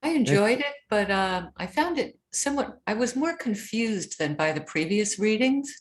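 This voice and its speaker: Female member, mid-pitched, casual, self-deprecating. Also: expressive, normal speed. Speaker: reading group member. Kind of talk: casual discussion.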